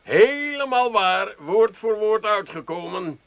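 Bright, excitable gullible man. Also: sits high, slow, highs cut off, full character voice. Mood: excited, convinced. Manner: animated, earnest, stubbornly naive. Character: gullible, excitable family man devoted to horoscopes. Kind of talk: 1980s Dutch comedy radio drama acting.

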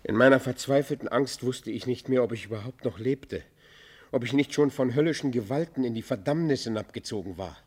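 A man's voice: mid-range voice, fairly quick, a little mellow, slightly rough, natural voice. A man playing a tormented, guilt-ridden fugitive outlaw in a German radio play, speaking in an anguished, declamatory literary manner.

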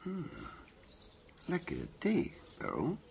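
A man's voice: on the high side, huge pitch swings, slow pace, creaky, darkish tone, a little hiss or hum, heavily acted. An actor playing a curt, embittered middle-aged husband and father in a radio drama. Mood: quiet, content.

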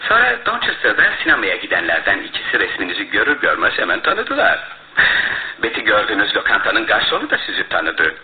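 Confident detective in an old radio drama, voice swings up and down a lot, explains deliberately, dull echoey sound. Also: male voice, on the low side, wildly swooping pitch, a little hiss or hum. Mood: triumphant, firm. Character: shrewd, self-assured male detective laying out his case. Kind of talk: radio theatre acting. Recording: muffled, roomy radio drama recording.

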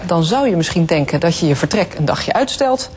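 A woman's voice: lowish voice, expressive, brisk, darkish tone, husky. A woman reading a scripted news report as a broadcast reporter. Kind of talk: scripted traffic and weather report.

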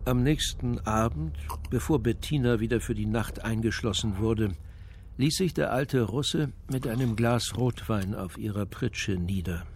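An actor playing the narrator of a radio crime drama, reading scripted narration in a matter-of-fact way. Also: male voice, lowish voice, a little slow, a little mellow, slight background noise.

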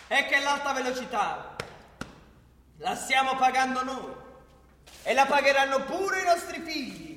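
A man's voice: very high voice, sharp tone, a little hiss or hum. A man narrating a polemical radio play in an emphatic, declamatory, theatrical style. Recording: echoey hall with music.

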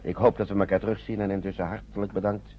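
An actor playing a courteous, resigned gentleman lawyer who shepherds children in a radio play, chatty and repeating himself. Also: on the low side, level tone, fast, lo-fi sound, close to an everyday voice. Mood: grateful. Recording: noisy old radio play recording.

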